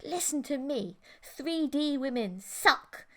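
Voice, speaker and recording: Give the audiobook a possessive alien mantis girlfriend, acted out by a woman. She speaks high, with coy, manipulative coaxing. She insists and presses until she gets her way. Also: expressive, takes it slowly, sharp tone, full character voice. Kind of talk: audiobook character voice.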